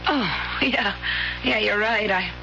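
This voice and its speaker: An actress in an old radio drama playing a nervous, complaining secretary with a fretful, exaggerated delivery. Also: female voice, mid-range voice, takes it slowly, creaky, bright, forceful tone, full character voice. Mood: weary, fretful. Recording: dull vintage radio recording with music.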